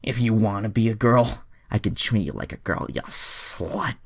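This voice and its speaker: Teenage male werewolf played by a male reader, crude, domineering, loud, raised pitch, parody story reading. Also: moderate pace. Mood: menacing.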